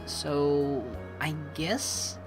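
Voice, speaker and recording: A woman's voice: deep voice, extremely slow, low-quality recording. A woman let's player reading game text aloud expressively, mixed with casual commentary. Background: game music.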